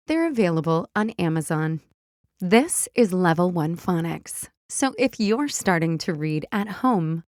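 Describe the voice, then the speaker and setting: A woman hosting a children's read-aloud, narrating and teaching early reading in simple, deliberate wording. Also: lowish voice, no room sound, studio quality. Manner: simple, deliberate wording, instructing young readers. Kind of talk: slow phonics storybook read-aloud for young children.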